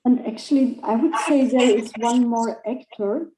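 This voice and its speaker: A woman's voice: on the high side, normal speed, roomy sound, noisy background. A woman moderating an online panel, phrasing her questions carefully and searching for words.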